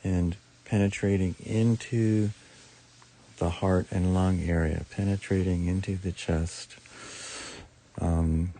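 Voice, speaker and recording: A man with a mellow, creaky voice leads a guided meditation. He speaks very slowly and soothingly, leaving long pauses between short phrases. His instruction is soft and unhurried. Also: deep voice, steady tone, poor audio. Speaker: meditation teacher.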